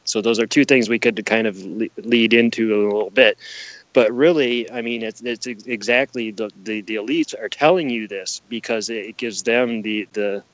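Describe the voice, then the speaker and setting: A man's voice: lowish voice, steady tone, moderate pace, no echo. Emphatic male guest, dull lo-fi audio. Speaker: podcast guest. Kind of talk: casual explanatory interview talk.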